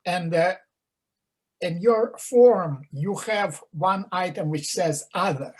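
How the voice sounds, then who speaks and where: Slow, husky man with a Ukrainian accent. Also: sits high. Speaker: participant asking a question. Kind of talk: question at a virtual meeting.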